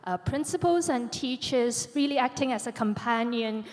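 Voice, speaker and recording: A woman's voice: a little high, normal speed, darkish tone. Earnest woman in a reverberant hall. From Hong Kong. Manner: earnest, many um fillers. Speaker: panelist. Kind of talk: panel talk, personal story. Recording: long reverb of a conference hall.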